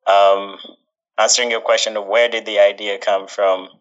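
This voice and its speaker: A young man from Uganda, a guest in an interview, answering thoughtfully with many pauses and fillers. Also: on the low side, little pitch movement, fairly bright tone, dull audio. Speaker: guest, social entrepreneur.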